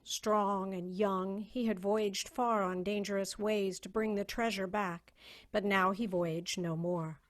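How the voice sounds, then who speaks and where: A woman narrating a story in a solemn, measured, theatrical way, as a dramatic reading over a video call. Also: medium pitch, steady tone.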